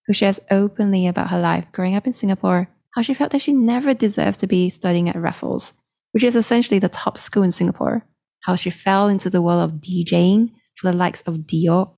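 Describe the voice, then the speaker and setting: A woman hosts her podcast and reads the episode intro in a measured, scripted way. Her voice sounds dark and mellow, with a gravelly fry at the ends of phrases. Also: medium pitch. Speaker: podcast host and producer.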